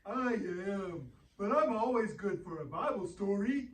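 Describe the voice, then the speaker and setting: Someone performing a childlike puppet pal for a kids' show: slow, naive, playful, heard at a distance in a roomy space. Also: mid-range voice, animated, close to an everyday voice. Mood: cheerful. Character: Blue, friendly childlike puppet sidekick who loves singing. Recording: roomy echo, distant from mic.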